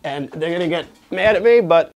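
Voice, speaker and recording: A man's voice: on the high side, lively intonation, fairly quick, very noisy. A man giving a casual product review, explaining things conversationally and often checking in with the listener.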